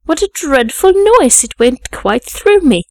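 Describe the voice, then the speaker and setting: A woman's voice: high-pitched, sing-song, unhurried, dry recording, clean studio sound, voice pushed up. A woman voicing a sour household maid, indignant and accusing, in an audiobook story.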